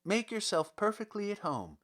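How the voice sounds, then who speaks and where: A man's voice: high-pitched, very animated, moderate pace, a bit bright, pitched above the performer's own voice. A man voicing a polite, helpful young palace maid in a storybook reading. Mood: welcoming. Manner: polite, obliging. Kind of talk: storybook character voice.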